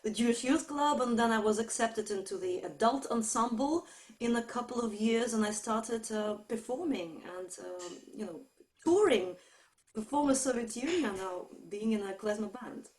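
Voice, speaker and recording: A woman, talking casually as an interview guest over a video call and pausing on fillers. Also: a little high, lively intonation, darkish tone. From Russia. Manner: chatty, pauses with fillers. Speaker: guest musician.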